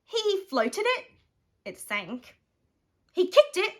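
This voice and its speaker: An Australian woman reading a children's picture book aloud, animated and dramatic with playful emphasis. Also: extremely high pitch, takes it slowly. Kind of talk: expressive children's bedtime story reading.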